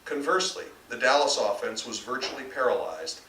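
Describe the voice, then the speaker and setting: A man's voice: medium pitch, animated, brisk, pushed and bright. A man reading his own literary fiction aloud at a live author event. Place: bookstore reading. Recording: roomy, distant mic, faint background noise.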